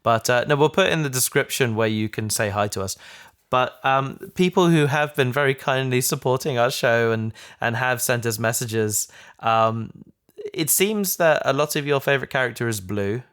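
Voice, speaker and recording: A man with a smooth, clean voice talks casually as a podcast host. His phrasing is halting and hesitant, built up in short pieces. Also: medium pitch, fairly bright tone. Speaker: podcast host and show creator. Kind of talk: casual chat.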